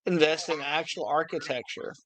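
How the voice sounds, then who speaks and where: A man's voice: on the high side, fairly even intonation, normal speed, a bit bright, dry recording, rough recording. An American man talking casually and giving opinions on a podcast, searching for his phrasing as he goes.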